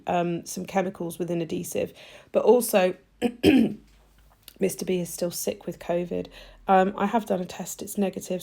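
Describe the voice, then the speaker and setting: A woman with a stuffy, nasal voice, chatting warmly through a nail tutorial as she works. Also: medium pitch, gravelly. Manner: chatty, gushing, talks while working. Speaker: nail technician demonstrating gel polish. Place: home nail studio.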